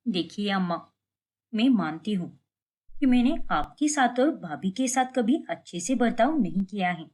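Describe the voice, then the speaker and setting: A woman's voice: medium pitch, lively intonation, moderate pace, a little mellow, close to an everyday voice. A woman voicing a vain, resentful middle-aged mother-in-law in a cartoon family drama, whiny and self-important. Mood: aggrieved.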